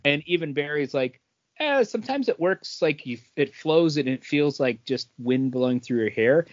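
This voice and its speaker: A man's voice: a little high, lively intonation, normal speed, dry recording. A man hosting a podcast in a casual, conversational review of comic books. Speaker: podcast host. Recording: dull, dry lo-fi sound.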